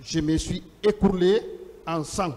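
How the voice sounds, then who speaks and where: A high-voiced man addresses a formal hearing in a big, reverberant room. He speaks very slowly and declaims in short, separated phrases, as a lawyer reading out testimony and questioning. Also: fairly even intonation, warm tone. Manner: deliberate, declamatory, pausing between short phrases. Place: large courtroom. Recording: reverberant large courtroom, long echo.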